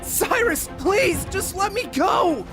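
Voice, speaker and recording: A woman's voice: extremely high pitch, expressive, takes it slowly, music in the background, exaggerated voice. A woman playing an earnest, emphatic young protagonist on the run in a visual novel game. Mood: desperate.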